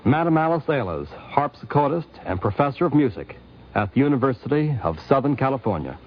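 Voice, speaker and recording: A man's voice: medium pitch, big pitch swings, fairly quick. A man hosting and narrating a scripted radio documentary in a muffled 1950s broadcast recording. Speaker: host and narrator.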